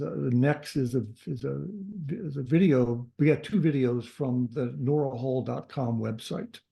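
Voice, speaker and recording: A man with a gravelly, very dark voice hosts a club meeting over a video call. He talks loosely, circling back with fillers and restarts. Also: medium pitch. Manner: rambling with many fillers and restarts. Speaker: carving club presenter. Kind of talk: casual presentation on a video call.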